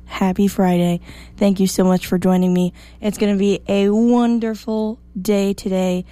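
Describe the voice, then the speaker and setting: A woman hosting a morning radio show, slightly hoarse, chatty and upbeat as she reads the weather. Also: medium pitch, warm tone, no room sound. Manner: chatty, upbeat, self-joking. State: a little hoarse.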